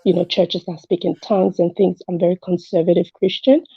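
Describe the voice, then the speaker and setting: Husky, gravelly woman on a muffled call. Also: fairly low pitch, level tone, a little fast, no room sound. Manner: conversational, re-enacts dialogue. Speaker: caller to a talk show. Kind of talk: casual personal storytelling. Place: remote call into an online show. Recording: muffled, dry call audio.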